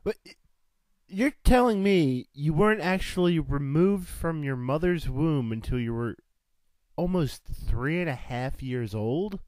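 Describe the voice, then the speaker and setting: Radio comedy: prim, flustered male interviewer, played by an actor, stammering, incredulous, very animated delivery, dry sound. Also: a little high, measured pace, dry recording. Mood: baffled. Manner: stammering, incredulous, primly scolding. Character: flustered, easily offended male radio personality interviewing a guest.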